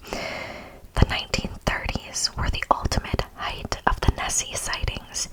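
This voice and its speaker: A woman whispering as an ASMR host, softly reading from a script with casual asides. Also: low-quality recording. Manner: soft, unvoiced whispering.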